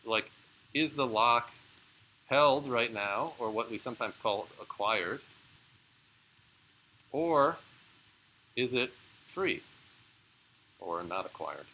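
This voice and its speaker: A man's voice: mid-range voice, animated, slow, long pauses, sharp tone, a little hiss or hum, poor audio. A man giving a live university lecture, explaining in a casual way with frequent fillers and answering student questions. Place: lecture room.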